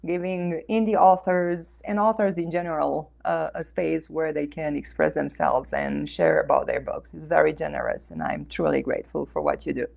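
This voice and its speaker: Creaky-voiced Romanian woman, effusive. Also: deep voice, moderate pace, hardly any pauses, darkish tone. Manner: effusive, earnest. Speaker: interview guest, author and life coach. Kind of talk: conversational interview answers.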